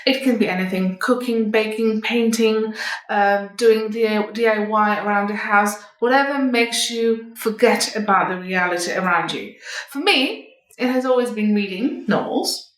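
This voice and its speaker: A middle-aged woman giving advice to viewers as a vlog host, in a measured, explanatory manner. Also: medium pitch. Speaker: communication coach and vlog host. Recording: echoey room, distant microphone.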